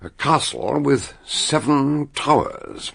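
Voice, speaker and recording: An older actor with a British accent playing a shrewd, ponderous gentleman detective in a radio drama, courteous, musing and husky. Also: medium pitch, expressive, slow, full character voice. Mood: musing, probing. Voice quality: gravelly, husky. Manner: courteous, hesitant, musing with ers. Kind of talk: radio drama acting and narration.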